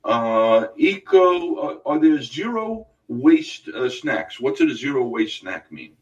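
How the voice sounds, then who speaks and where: A man with a New York accent hosting a casual radio interview, halting with many fillers and restarts. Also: medium pitch, big pitch swings, recorded from a distance, highs cut off. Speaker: radio host.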